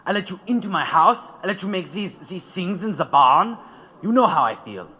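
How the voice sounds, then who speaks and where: A man's voice: high-pitched, lively intonation, moderate pace, bright, forceful tone, husky, exaggerated voice. A man playing an alarmed, cautious labour radical in an audio drama, urgent and pleading. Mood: indignant.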